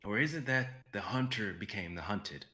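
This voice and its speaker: A man playing a defiant, cool-headed hero who taunts calmly in a dramatic reading. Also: on the low side, normal speed. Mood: taunting.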